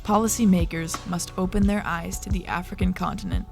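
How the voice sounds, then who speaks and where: A documentary narrator delivers scripted lines in a grave, urgent tone. The voice is gravelly and stays flat, with faint music underneath. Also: medium pitch, brisk.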